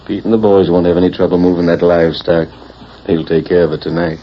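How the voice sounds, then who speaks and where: An actor playing a cool, calculating western outlaw boss, unhurried and smug, in 1940s radio style. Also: male voice, deep voice, fairly even intonation, close to an everyday voice. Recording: muffled, hissy vintage radio broadcast.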